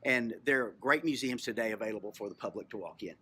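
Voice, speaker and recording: A middle-aged man from Texas telling a personal story informally as a museum co-host, in a reflective, halting way with repeated phrases. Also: on the low side, sharp tone. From Texas, United States. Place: on location at a historic lodge. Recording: clear background noise, on location.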